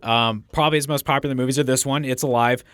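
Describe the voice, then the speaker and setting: A man with a noticeably nasal voice, co-hosting a casual horror podcast. He rambles through his points with plenty of "uh" and "um", and his tone comes through smooth and clean. The recording is dry, with no room sound. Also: mid-range voice, steady tone, quick pace, fairly bright tone, no echo. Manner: rambling, chatty, many fillers. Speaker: podcast co-host. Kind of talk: casual chat.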